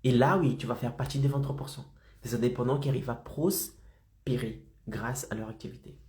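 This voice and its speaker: A man's voice: medium pitch, steady tone, a little fast, warm tone, reverberant, slight background noise. A man with a slight head cold, coaching freelancers in a motivational live stream and keeping his audience engaged with frequent check-ins. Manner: insistent, keeps checking in with "d'accord?" and "ok?".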